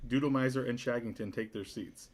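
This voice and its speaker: A man's voice: medium pitch, brisk, a little hiss or hum. A man narrating stage directions from a comedy script at a table read.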